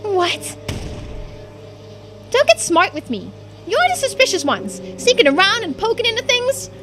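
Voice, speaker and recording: A woman's voice: very high voice, wildly swooping pitch, a little slow, music in the background, pitched above the performer's own voice, full character voice. A woman voicing a jumpy, defiant townswoman in a mystery game, snappy and suspicious.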